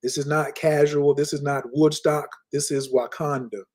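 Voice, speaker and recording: A man teaching in an emphatic, lecturing way on a casual podcast, repeating key words slowly. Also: fairly high pitch, little pitch movement, a little mellow. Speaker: spiritual teacher. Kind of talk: casual podcast talk, preaching.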